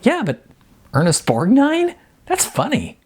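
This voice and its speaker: A man's voice: very high-pitched, huge pitch swings, measured pace, breathy edge, pitched above the performer's own voice, exaggerated voice. A man voicing a timid but teasing young woman, light, girlish and playful, in an audiobook story. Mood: amused, giggly.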